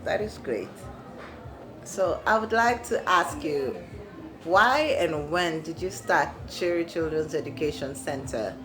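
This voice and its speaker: A woman's voice: sits low, expressive, a little slow, music in the background. A woman from Kenya interviewing a guest, asking questions and offering short encouraging affirmations.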